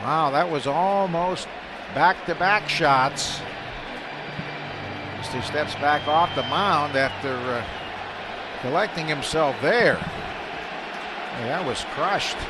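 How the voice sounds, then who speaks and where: An American man calling live baseball play-by-play on radio, excitable on big plays and conversational between pitches. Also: takes it slowly, long pauses. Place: ballpark broadcast booth. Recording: clear stadium noise behind the voice.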